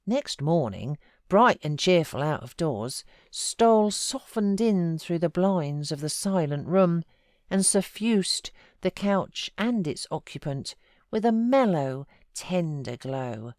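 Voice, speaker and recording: A British woman narrating classic literature as an audiobook, with measured, expressive storytelling. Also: on the low side, no room sound, studio quality. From United Kingdom. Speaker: audiobook narrator and voiceover artist.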